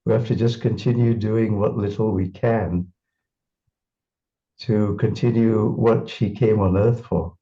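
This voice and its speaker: A man's voice: on the low side, fairly even intonation, a little slow, warm tone, muffled recording. A man from Singapore reminiscing in an interview, thoughtful and full of restarts and fillers. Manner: thoughtful, repeats words, many fillers.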